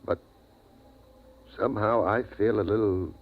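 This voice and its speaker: An actor voicing a quiet, thoughtful father in a 1950s radio drama, subdued and measured. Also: lowish voice. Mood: reflective. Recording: tinny old radio transcription.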